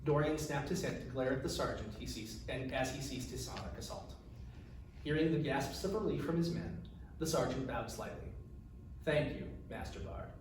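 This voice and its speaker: A man's voice: mid-range voice, normal speed. Echoey, distant man reading fantasy fiction. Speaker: author reading own novel aloud. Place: echoey room, recorded from a distance. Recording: distant mic, very echoey room, faint noise.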